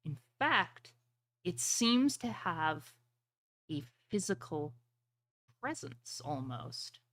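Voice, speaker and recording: A woman running a fantasy tabletop game as game master, narrating in a dramatic, deliberate storytelling manner. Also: lowish voice, very animated, fairly bright tone.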